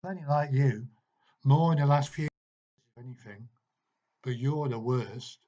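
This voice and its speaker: A man voicing an eerie, unsettlingly calm boy who speaks in rough working-class slang. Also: medium pitch, unhurried, lightly acted. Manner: rough working-class speech, quiet and accusing. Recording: dull, muffled recording.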